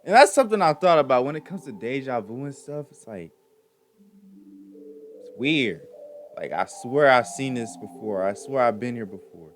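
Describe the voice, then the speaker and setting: A man's voice: mid-range voice, lively intonation, normal speed, soft background music. A young man hosting a reaction video, chatting casually in slangy, informal language and often repeating himself.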